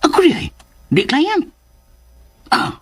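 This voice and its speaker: A man acting a gruff, irritable character in a radio drama, with a rough, gravelly voice. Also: very high-pitched, wildly swooping pitch, takes it slowly, no room sound, exaggerated voice. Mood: muttering.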